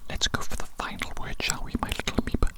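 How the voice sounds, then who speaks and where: A woman doing a whispered ASMR roleplay as a smug, doting evil genius doctor, breathy and soothing, often repeating her phrases. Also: fast. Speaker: ASMR performer.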